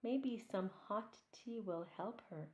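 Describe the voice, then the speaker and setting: Storybook housekeeper, female, voiced by a woman narrator: cheerful, literal, matter-of-fact, unhurried delivery in a roomy space. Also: mid-range voice, darkish tone, lo-fi sound, natural voice. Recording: roomy echo.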